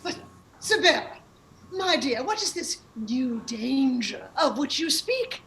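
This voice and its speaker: A woman's voice: sits high, big pitch swings, slow pace, gravelly, a bit bright, a little hiss or hum, exaggerated voice. A woman playing a genteel, easily astonished mother in a melodramatic, comedic radio play. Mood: fond, insistent.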